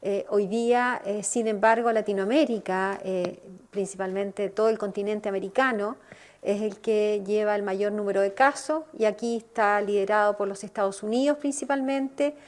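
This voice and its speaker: A woman's voice: mid-range voice, steady tone, moderate pace, a little mellow. A woman giving a press briefing, hesitant and full of fillers, in an archive clip.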